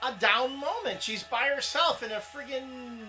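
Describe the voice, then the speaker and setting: A man's voice: very high voice, normal speed, pushed and bright, soft background music. A man co-hosting a casual comic book talk show, rambling and restarting as he defends his opinion. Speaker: co-host.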